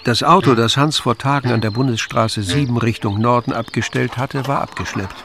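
A man's voice: medium pitch, fairly quick. A man giving scripted literary narration as the narrator of an audio drama.

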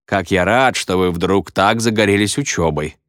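A man's voice: sits low, moderate pace, fairly bright tone, dry recording, studio quality. A man voicing a wry, good-humoured male teacher calling out to his class in an audiobook.

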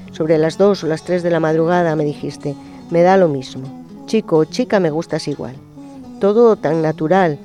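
A man with a somewhat high yet mellow voice reads a personal letter on the radio. His delivery is slow, intimate and reflective, and quiet guitar plays faintly behind him. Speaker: radio presenter. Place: radio studio. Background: soft guitar music.

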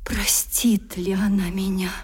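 An actress playing a proud, long-suffering young gentlewoman in a radio drama, solemn and impassioned, with breathy delivery and dramatic pauses. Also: mid-range voice, little pitch movement. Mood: pained refusal. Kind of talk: Russian radio drama acting, theatrical.

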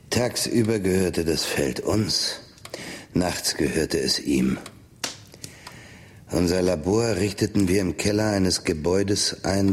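An actor in a German radio play as a middle-aged researcher, dry, sardonic and measured in his delivery. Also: sits low, husky. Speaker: narrator and lead character of a radio play. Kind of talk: German radio drama acting, first-person narration.

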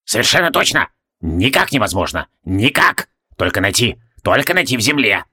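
A man voicing a frantic, cranky old mad alchemist in a fantasy audiobook, husky and barking, repeating himself excitedly. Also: fairly low pitch, moderate pace, full character voice. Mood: emphatic.

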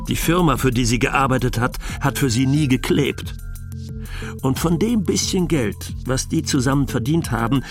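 Male actor for frank German husband, radio play monologue. Mid-pitched, colloquial, down-to-earth delivery. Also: close to an everyday voice. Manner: colloquial, matter-of-fact. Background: music.

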